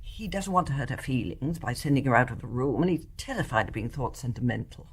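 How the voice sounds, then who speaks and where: An older actress with an English accent playing a genteel, kindly mother with a measured manner in a radio play. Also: very deep voice, expressive, husky, heavily acted. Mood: confiding.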